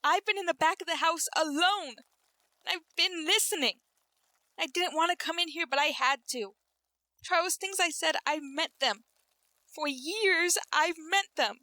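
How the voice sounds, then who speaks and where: An actress playing a bitter, frightened middle-aged wife with an intense, melodramatic delivery in a 1940s style radio drama. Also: female voice, very high voice, unhurried, pushed and bright, no echo, exaggerated voice. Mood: frightened, pleading. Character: bitter, frightened long-suffering wife of a scientist.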